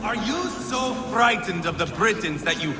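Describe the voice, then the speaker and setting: A bloodthirsty Viking warrior for a video game. He sneers and threatens in a highish, very animated voice, with music underneath. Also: moderate pace. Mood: scornful. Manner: sneering, foul-mouthed, menacing. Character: brutal, hot-tempered Viking warrior spoiling for blood. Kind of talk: dramatic video game acting. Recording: long hall reverb, music underneath.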